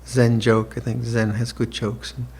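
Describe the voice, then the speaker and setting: A man's voice: medium pitch, flat delivery, gravelly, husky. Reflective man in his seventies. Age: 70s. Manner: slow, reflective, with soft laughs and restarts. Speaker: monk teacher. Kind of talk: evening dharma talk. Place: retreat hall with listeners.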